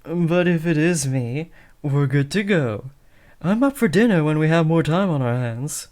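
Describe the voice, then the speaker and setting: Man acting as teenage villain-in-training, slightly high clean voice, theatrical yet insecure, eager, smitten, comedic audio drama. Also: lively intonation, a little slow, darkish tone. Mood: casual, rambling. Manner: theatrical villain persona slipping into hesitant, awkward rambling. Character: awkward lovestruck teenage boy, aspiring supervillain, eager, insecure. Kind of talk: comedic roleplay audio drama addressed to the listener.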